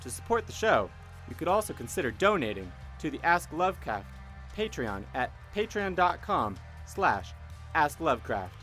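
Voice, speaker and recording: Guy putting on a grandiose spooky announcer for a podcast ad, very animated, music behind. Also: fairly high pitch, moderate pace. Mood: salesy, ominous. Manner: theatrical, pompous. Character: grandiose, ominous male promo announcer of cosmic horror. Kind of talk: scripted podcast advert.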